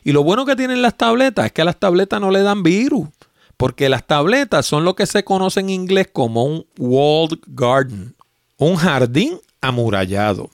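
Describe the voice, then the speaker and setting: Lively Puerto Rican man in his sixties. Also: on the high side, moderate pace, dry recording, studio quality. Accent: Puerto Rican Spanish. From Puerto Rico. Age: 60s. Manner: chatty, explanatory, plain-spoken. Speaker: tech podcast host. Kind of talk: solo podcast, reading listener mail.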